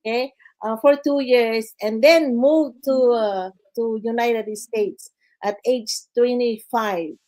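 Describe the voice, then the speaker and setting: Older filipino woman guest, slightly high voice, halting delivery with many fillers, chatty. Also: darkish tone. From Philippines. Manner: halting, many "uh" fillers, says "guys" and "okay" often. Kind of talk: casual interview storytelling.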